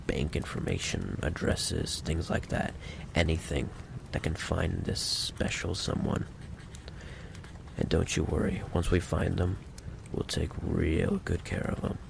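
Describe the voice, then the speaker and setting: A man playing a menacing, possessive mafia boss in an ASMR roleplay monologue, with a low, gravelly voice and a deliberate, coolly condescending delivery. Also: background noise, full character voice. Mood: teasing menace.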